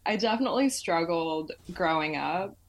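Young woman guest, low pitched, chatty and loose, lots of "like" and "um". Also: animated, moderate pace. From Malaysia. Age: 20s. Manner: chatty, filler-heavy, laughs often. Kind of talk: casual interview chat.

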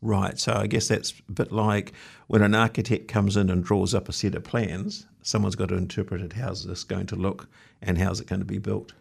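A man's voice: on the low side, flat delivery, normal speed, gravelly, hardly any pauses, breathy edge. A man hosting a show and asking his guest questions in a casual, conversational way. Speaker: radio or podcast interviewer.

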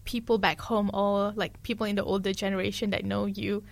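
A woman's voice: mid-range voice, flat delivery, brisk, creaky. A young woman hosting a casual interview, friendly, with short backchannels and frequent laughs.

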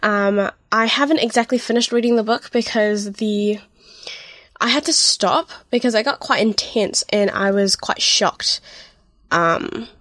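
A woman hosting a podcast in a rambling, chatty way, her voice a little croaky and nasal from being unwell. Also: fairly high pitch, unhurried, a bit bright, dry recording. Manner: rambling, chatty, frequent ums and apologies. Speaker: podcast host. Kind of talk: casual solo podcast chat.

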